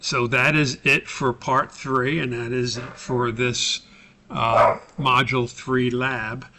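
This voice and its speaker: Bright-voiced American man teaching a tutorial. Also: medium pitch, level tone, slow pace, slight background noise. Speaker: course instructor recording a tutorial for his class. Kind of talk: informal screen-recorded software tutorial, explanatory.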